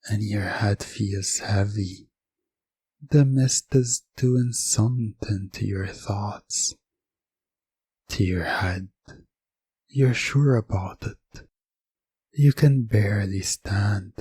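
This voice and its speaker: Male hypnosis ASMR narrator: low, mellow, extremely slow and deliberate, quietly commanding. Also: breathy edge, dry recording. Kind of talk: hypnosis ASMR, scripted second-person storytelling.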